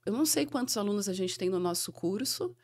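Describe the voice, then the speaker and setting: A woman's voice: mid-range voice, animated, quick pace. A woman university professor in an interview, talking earnestly and reflectively as she thinks aloud. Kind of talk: conversational interview.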